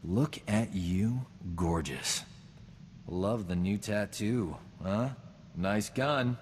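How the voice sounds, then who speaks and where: A man's voice: fairly low pitch, lively intonation, extremely slow, darkish tone, in a large hall, a little hiss or hum. An actor playing a cocky, womanizing human doctor who boasts and wisecracks in a video game. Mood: flirtatious. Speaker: companion character. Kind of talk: video game dialogue acting.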